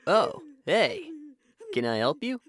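A man's voice: fairly high pitch, huge pitch swings, slow pace, very noisy, exaggerated voice. A man voicing a cool, laid-back young male hedgehog hero in a cartoon comic dub. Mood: casual, friendly.

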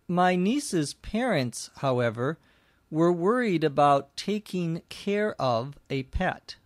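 A man speaks extremely slowly in a slightly high voice, recorded close and dry. He phrases everything with deliberate care, as the host of a lesson podcast reading and explaining for language learners. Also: lively intonation, no room sound. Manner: deliberate, carefully phrased delivery. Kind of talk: slow scripted narration and explanation for English learners.

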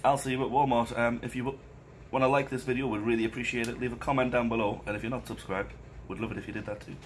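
A man in his thirties with a British accent and a hoarse voice, chatting casually to a handheld camera. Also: mid-range voice, little pitch movement, fast talker. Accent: British English. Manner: chatty, self-filming at arm's length. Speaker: vlogger. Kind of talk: casual vlog chat. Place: theme park outdoors and hotel room. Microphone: camera at arm's length. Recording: loud background noise.

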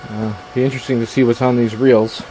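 Male repair vlogger, casual and halting, thinking aloud; noisy handheld camera sound in a small workshop. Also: mid-range voice, flat delivery. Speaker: electronics repair hobbyist hosting a video. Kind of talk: casual hands-on repair commentary. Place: small indoor workshop at a workbench. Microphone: handheld camera's built-in microphone.

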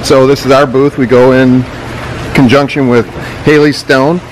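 A man's voice: mid-range voice, level tone, moderate pace, background noise. Upbeat man touring a nursery. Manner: upbeat, salesy, inviting. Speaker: business owner and channel host. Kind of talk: walking tour vlog. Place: large greenhouse nursery, indoors.

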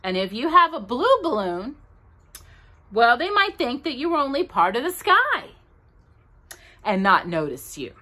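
A woman voicing a gentle, bumbling male bear in a storybook reading, slow and wondering. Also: a little high, very animated, voice pushed up, heavily acted. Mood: earnest.

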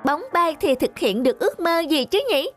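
High-pitched, gossipy little toy. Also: female voice, lively intonation, moderate pace, over music. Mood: dismissive, pitying. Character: chatty, pitying little toy on a shop shelf. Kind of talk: cartoon dialogue.

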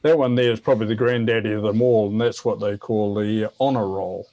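Older New Zealand man, halting chatty delivery. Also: lowish voice, fairly even intonation, mellow, poor audio. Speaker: guest, veteran amateur radio operator. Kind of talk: casual explanatory chat. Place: home office, indoors.

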